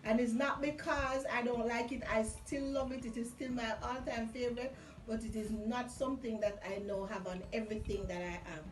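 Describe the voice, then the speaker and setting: A woman's voice: a little high, fairly even intonation, fairly quick, hardly any pauses, distant mic. A woman with a Caribbean accent chatting casually and telling stories emphatically, repeating phrases for effect. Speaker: vlog co-host. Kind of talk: casual chat, storytelling. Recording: roomy echo from off mic, music behind. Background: music.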